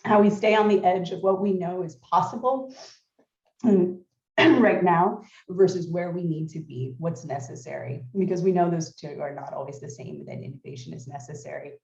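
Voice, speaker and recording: A woman from California giving remote welcome remarks as an institute president, hoarse and clearing her throat often. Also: fairly low pitch. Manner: frequent throat clearing, measured. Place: at home, remote video link. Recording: dull, echoey remote audio.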